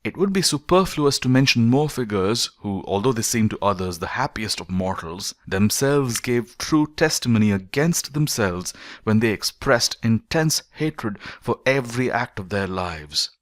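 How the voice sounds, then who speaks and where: A man reading a philosophical essay as an audiobook narrator, in measured, deliberate phrases with emphatic stresses. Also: lowish voice, lively intonation, dry recording, studio quality.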